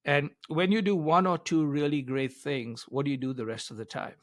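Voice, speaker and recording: A man's voice: fairly high pitch, steady tone, moderate pace, dry recording. An older man giving conversational, explanatory interview answers, laying out his points emphatically one by one. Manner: emphatic, explains in numbered points with acted-out quotes. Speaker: business author and advisor being interviewed.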